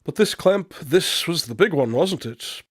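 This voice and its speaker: A man playing a shrewd, unflappable detective in a radio drama, measured and knowing as he confronts a suspect. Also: fairly high pitch, lively intonation, husky, dry recording, clean studio sound. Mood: accusing, confident. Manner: measured, knowing, coolly accusatory. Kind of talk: amateur radio drama acting.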